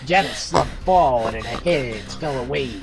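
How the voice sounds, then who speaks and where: A woman's voice: very deep voice, lively intonation, moderate pace. A woman reading a scripted horror story as its narrator.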